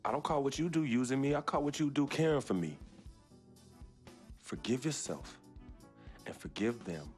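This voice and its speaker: An actor playing a young male basketball star in a video game cutscene, speaking in an earnest, measured, heartfelt way. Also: medium pitch, lively intonation, a little mellow, soft background music, natural voice. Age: young adult. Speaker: game protagonist.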